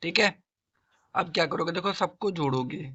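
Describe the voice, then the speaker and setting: A man works through math problems step by step. His throat is hoarse and sore, and he keeps prompting the class with questions he answers himself. The recording sounds dull and lo-fi. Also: a little high, moderate pace, sharp tone. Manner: coaxing, asks and answers own questions, clears throat. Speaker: math tutor. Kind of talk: classroom-style math lesson.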